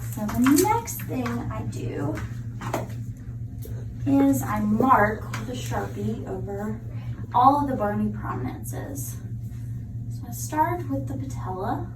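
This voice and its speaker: A woman prosthetist walks through a clinical procedure step by step, practical and unhurried, as she works. Her voice sits a little high, and it comes from a distance in an echoey room. A steady background noise runs underneath. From United States. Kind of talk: hands-on clinical demonstration. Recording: echoey room, mic from far off.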